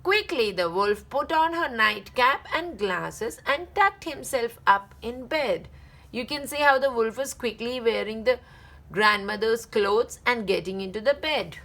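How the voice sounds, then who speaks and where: Female children's story narrator, very animated and higher-pitched, deliberate drawn-out phrasing. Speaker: read-aloud channel host.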